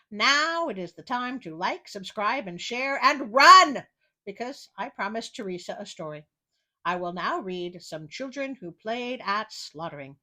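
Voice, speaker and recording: A woman reading fairy tales as a storytelling host, expressive, with wry and incredulous asides. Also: mid-range voice.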